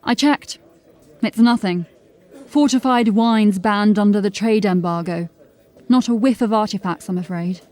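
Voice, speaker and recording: A woman's voice: mid-range voice, measured pace, a little mellow, natural voice. Woman acting a drily witty police constable. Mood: dry, informative. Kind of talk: fantasy audio drama acting.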